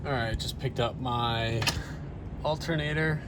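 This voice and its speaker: A man's voice: medium pitch, unhurried. A young man talking casually and unscripted to his vlog in a laid-back, wry way, recorded in a van. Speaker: vlogger documenting DIY van repairs. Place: mostly inside a van, often while driving. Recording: loud vehicle and road noise.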